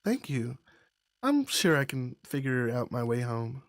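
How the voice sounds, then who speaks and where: A performer reading a visual novel voices a calm, devoted young romantic partner in a gentle, measured, reassuring way. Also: medium pitch, no room sound, clean studio sound, pitched below the performer's own voice. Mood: warm.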